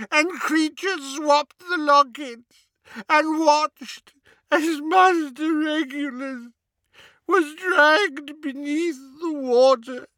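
High-pitched, sobbing old male house-elf. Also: sharp tone, no echo, full character voice. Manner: refers to self in third person, moaning. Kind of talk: audiobook character acting.

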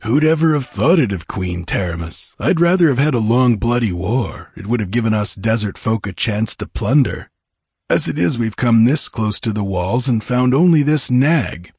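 A man's voice: medium pitch, lively intonation, moderate pace, a little mellow, breathy edge, no room sound, studio quality. A man voicing a proud, hard outlaw chief of the desert, imperious and mocking, in an adventure audiobook. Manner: imperturbable, commanding, scornful. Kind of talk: dramatised audiobook adventure reading.

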